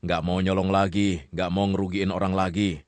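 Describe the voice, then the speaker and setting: A man's voice: low voice, level tone, normal speed, no echo. A man hosting and narrating a comic crime story in a playful, teasing way. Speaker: storytelling host.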